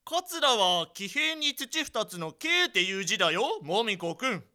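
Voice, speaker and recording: A pompous, avuncular company president, cheerfully oblivious in a comic radio drama. The voice is very bright and forceful, high and crisply clear, with jovial airs. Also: male voice, sing-song, unhurried, full character voice. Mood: amused, oblivious. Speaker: voice actor.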